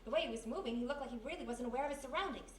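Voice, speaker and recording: A woman playing a plucky, quick-witted young detective's sidekick, brisk and spirited, in a live radio mystery. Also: sits high, far from the mic, close to an everyday voice. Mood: eager. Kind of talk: live old-time radio mystery acting. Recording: roomy live stage, faint background noise.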